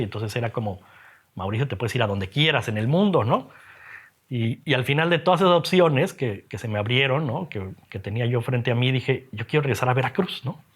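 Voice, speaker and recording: A middle-aged man with a Mexican accent, talking reflectively in an interview, haltingly and with frequent tag questions. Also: medium pitch, husky. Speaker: interviewed graphic designer and teacher. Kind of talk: reflective personal interview talk.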